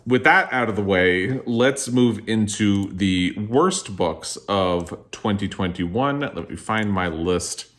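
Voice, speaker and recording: A man with a fairly low-pitched voice talks as the host of a book vlog. His delivery is casual and conversational. He shares opinions candidly but tends to qualify them. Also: slow, hardly any pauses, fairly bright tone. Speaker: book vlogger, host of the video.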